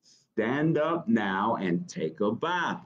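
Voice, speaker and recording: Low-pitched, expressive man reading to children. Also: takes it slowly, slight background noise. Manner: expressive, encouraging, chatty with young listeners. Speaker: children's librarian, storytime host. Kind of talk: reading a picture book aloud to children with asides. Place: small office, indoors. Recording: dull webcam audio.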